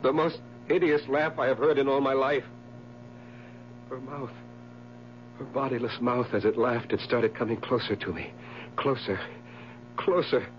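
An actor playing a haunted, anxious husband in a 1970s radio drama, speaking in halting, repeated, melodramatic phrases. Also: male voice, medium pitch, fairly even intonation, lightly acted. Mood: shaken, dread. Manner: halting, repetitive, melodramatic delivery. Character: haunted, nerve-shattered husband, anxious and obsessive. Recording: muffled, hissy 1970s radio broadcast recording.

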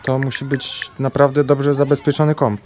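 Very low-pitched serious young team member. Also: female voice, flat delivery, moderate pace, a little mellow, slight background noise. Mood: worried. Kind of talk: scripted radio drama acting.

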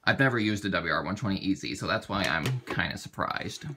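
A man giving a casual, halting how-to walkthrough, thinking aloud as he demonstrates a product. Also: sits low, lively intonation, over loud music. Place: indoors at a table. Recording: very noisy home video, clicks and beeps.

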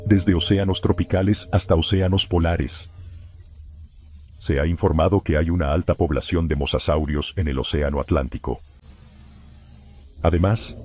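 A man playing a grave emergency broadcast announcer, narrating scripted horror warnings in a solemn, formal, measured way, through muffled lo-fi sound. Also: deep voice, slight background noise, natural voice.

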